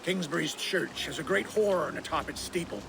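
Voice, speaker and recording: An actor playing a bitter, stubborn older reeve of a Saxon village in a game, gruff and gravelly, with archaic formal speech. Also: male voice, on the high side, moderate pace, background noise. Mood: firm, resolute.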